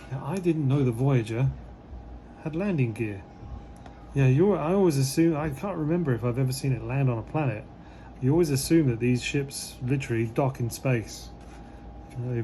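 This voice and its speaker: A slightly hoarse man, losing his voice, giving relaxed, rambling commentary as he unboxes a model kit, with many fillers. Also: mid-range voice, brisk, a little hiss or hum.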